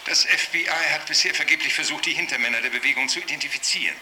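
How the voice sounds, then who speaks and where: A man's voice: on the high side, racing, pushed and bright, slight background noise, rough recording. An actor playing an alarmed, self-assured male cult expert, lecturing formally and emphatically in a radio drama interview. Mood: indignant, lecturing. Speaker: TV studio expert being interviewed. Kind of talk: radio drama acting as broadcast expert commentary.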